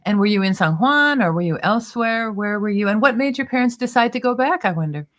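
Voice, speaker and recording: A woman hosting an interview show, effusive and lively as she asks questions and praises her guest. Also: a little high, fast talker. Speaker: show host.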